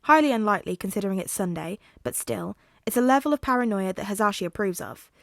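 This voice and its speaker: A woman's voice: medium pitch, expressive, quick pace, vocal fry, no room sound, clean studio sound. A woman reading a scripted story aloud as its narrator. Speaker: podfic narrator.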